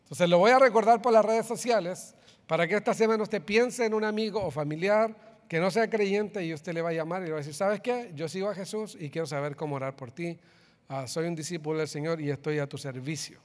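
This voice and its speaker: A man's voice: sits high, a little fast. A man with a Chilean accent giving announcements to a congregation and leading them through a group reading. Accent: Chilean Spanish. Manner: upbeat, addresses congregation directly, leads group reading. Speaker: pastor giving church announcements. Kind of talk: church announcements. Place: church sanctuary. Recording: long hall reverb.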